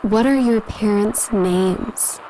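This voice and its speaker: A woman's voice: mid-range voice, slow pace, warm tone, close to an everyday voice. An actress playing a stern female agent in an audio drama, questioning curtly and insistently. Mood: stern, impatient. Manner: curt, insistent. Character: stern, no-nonsense female agent interrogating a suspect. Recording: noisy interrogation recording with ambience.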